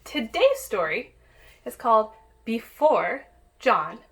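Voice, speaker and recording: A woman's voice: on the high side, very animated, takes it slowly, vocal fry, fairly bright tone. A woman teacher reading a picture book aloud to young pupils, addressing them directly and encouragingly with questions and asides, on a home-made recording.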